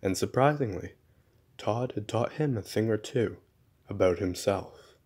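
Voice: mid-range voice, unhurried, a little mellow, natural voice. An actor playing a snarky, dramatic narrator in a radio play, exasperated and secretly lonely. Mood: wry narrating. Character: exasperated, lonely narrator who mocks the man he follows.

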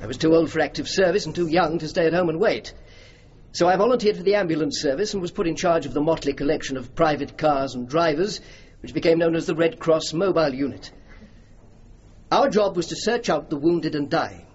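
An actor playing a dignified, earnest and courteous middle-aged major general in a radio drama, speaking in formal manner. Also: male voice, a little high, brisk, a little mellow, lightly acted. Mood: reflective. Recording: dull, faintly noisy radio recording.

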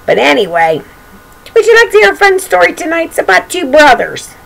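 Older female kids' storybook host: playful, singsong, swooping intonation with plenty of gravelly creak, homemade webcam sound. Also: very high-pitched, wildly swooping pitch, fairly quick, fairly bright tone, rough recording. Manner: singsong, playful, addressing little children. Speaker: children's storybook reader and show host. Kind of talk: read-aloud storytelling with chatty asides to young children. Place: bedroom at home.

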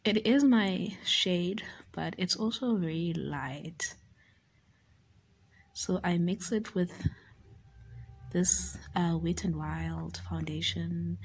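A young South African woman talking through her makeup routine in a soft, often half-whispered, unhurried way, in a small room. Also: fairly low pitch, vocal fry, breathy edge. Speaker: beauty YouTuber. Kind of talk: casual makeup tutorial talk.

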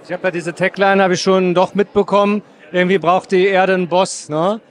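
A man's voice: high-pitched, flat delivery, brisk. A middle-aged man hosting a film interview in a chatty, effusive way on a handheld microphone in a cinema foyer. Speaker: interviewer and host. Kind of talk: red-carpet film interview.

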